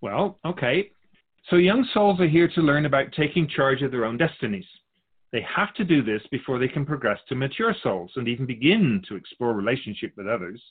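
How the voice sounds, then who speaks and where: A man speaking as a spiritual guide, explaining things in a measured, didactic, conversational way. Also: medium pitch, expressive, breathy edge, narrow, tinny audio.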